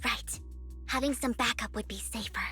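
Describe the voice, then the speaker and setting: A woman's voice: fairly high pitch, moderate pace, pushed and bright. A woman voicing a poised, cunning young female thief who teases and often drops to a whisper, in game audio with music behind. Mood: plotting. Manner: coy and teasing, drops to whispers.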